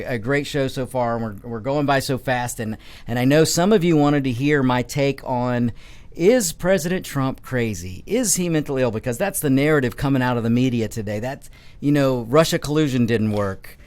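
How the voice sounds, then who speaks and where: A man's voice: medium pitch, darkish tone. A middle-aged man hosting a talk radio show from a studio, giving an emphatic opinion monologue that is full of restarts and repeated words.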